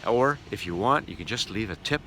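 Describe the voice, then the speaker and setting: A man's voice: on the low side, animated, fairly quick, sharp tone, a little hiss or hum. A man hosting a casual travel vlog, narrating with wry, joking asides. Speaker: vlog host and travelling musician.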